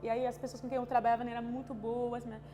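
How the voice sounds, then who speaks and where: High-voiced woman. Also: little pitch movement. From France. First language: French. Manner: halting, searching for words, frequent restarts and tag questions. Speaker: interviewee. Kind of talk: personal testimony interview. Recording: long reverb with music underneath.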